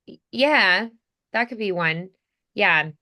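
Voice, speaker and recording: A woman's voice: medium pitch, expressive, slow pace, pushed and bright. A woman teaching voice acting in a casual one-on-one chat, thinking aloud hesitantly and saying "like" often.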